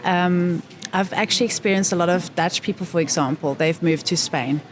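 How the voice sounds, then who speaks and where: Low-voiced woman, measured and thoughtful. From South Africa. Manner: thoughtful, pauses to find words. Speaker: interview guest. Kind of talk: reflective conversation. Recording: faint background noise.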